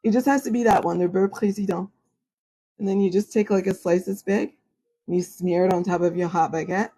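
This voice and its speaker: A woman whose first language is French chats loosely with her livestream viewers about astrology and food. Her voice is husky and a little breathy and sits at a middling pitch. She leans on "like" and "you know" as she goes, but keeps her English careful and formal. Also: steady tone, brisk, dark tone, low-quality recording. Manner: chatty, many "like" and "you know" fillers; self-described formal when speaking English. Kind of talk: casual livestream chat with viewers.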